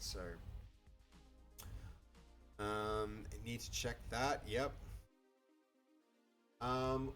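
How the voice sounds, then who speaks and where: A man with a Dutch accent, a native Dutch speaker, thinking aloud as he codes live on stream, hesitant and full of restarts. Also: fairly low pitch. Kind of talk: live coding commentary.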